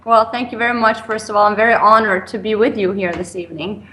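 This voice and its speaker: A woman's voice: medium pitch, fast talker, a bit bright, poor audio. Hesitant young woman, guest on a call. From Sweden, raised in France. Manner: hesitant, many ums and restarts. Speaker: guest, cigar company founder. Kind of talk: interview over a call.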